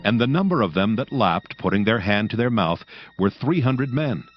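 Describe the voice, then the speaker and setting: A man narrating scripture from a script as a storyteller, solemn and measured. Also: on the low side, big pitch swings. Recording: dull sound, faint music behind.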